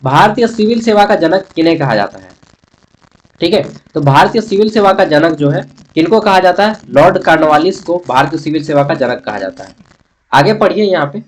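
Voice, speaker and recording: A man with a Jharkhand Hindi accent and a slightly high voice gives an informal lesson. He talks straight to his students in everyday colloquial speech. He often poses a question and then answers it himself. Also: animated, moderate pace. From Jharkhand, India. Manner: colloquial, chatty, addresses students directly. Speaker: history teacher for college students. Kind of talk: informal exam-prep lesson.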